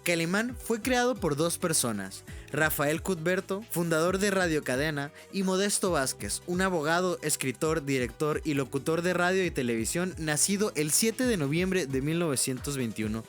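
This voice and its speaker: Higher-pitched man narrating over music. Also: expressive, moderate pace. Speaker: narrator of a pop culture video essay. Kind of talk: scripted documentary narration.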